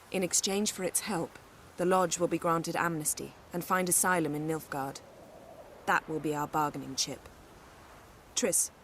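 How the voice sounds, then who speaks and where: An actress playing a composed, commanding sorceress who speaks in a cool, authoritative way in a game cutscene. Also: female voice, lowish voice, slight background noise, natural voice. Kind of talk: video game cutscene acting.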